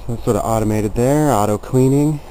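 A man's voice: mid-range voice, a little fast, warm tone, slight background noise, low-quality recording. A plainspoken man giving a casual, explanatory walkthrough of something he built, talking from behind a handheld camera outdoors. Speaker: DIY builder showing a chicken coop. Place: outdoors in a yard beside the coop. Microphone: handheld camera mic, speaker behind the camera.

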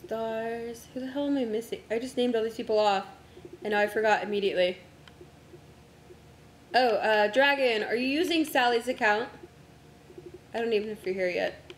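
A young woman streamer chatting casually with friends while setting up a game, her voice going hoarse from a long day of talking. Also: fairly high pitch, moderate pace, long pauses, echoey room, a little hiss or hum. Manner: distracted, talks to herself while navigating menus.

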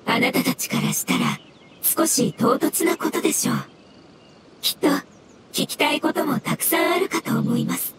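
A woman's voice: sits high, normal speed, heavy background noise, close to an everyday voice. A woman voicing a polite, earnest mushroom-like elemental creature in an anime game, speaking in a formal, literal-minded, pedantic way. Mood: earnest, explanatory. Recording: loud music behind the voice.

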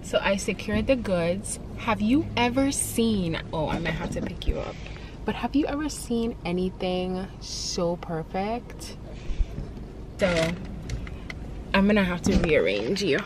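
A woman's voice: medium pitch, expressive, a little slow. A woman in her twenties chatting casually to camera from inside a car, with self-mocking asides and lots of fillers and restarts. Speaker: vlogger. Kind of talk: casual vlog chat. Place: inside a parked or moving car. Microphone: camera on the dashboard. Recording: distant mic with loud car noise.

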